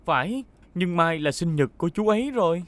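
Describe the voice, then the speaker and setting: A man's voice: sits high, expressive, a little fast, fairly bright tone, dry recording. A man voicing a kind, confident young police car hero in a children's cartoon dub, reassuring and helpful.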